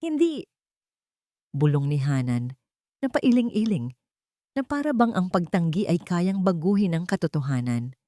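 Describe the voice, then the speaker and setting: A woman's voice: fairly low pitch, sing-song, moderate pace, mellow, no room sound, studio quality. A woman with a Filipino accent narrating a scripted dramatic story as an audiobook narrator.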